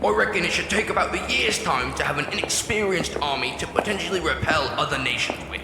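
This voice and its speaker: An actor playing a cautious military advisor who explains things deferentially in a fantasy audio drama. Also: male voice, fairly high pitch, expressive, fast, vocal fry. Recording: echoey hall with music.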